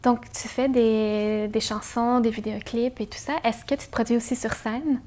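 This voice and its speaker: A woman's voice: on the high side, fairly even intonation, moderate pace, dark tone. A woman hosting a talk show, asking questions and giving brief prompts and affirmations. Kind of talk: interview host questions.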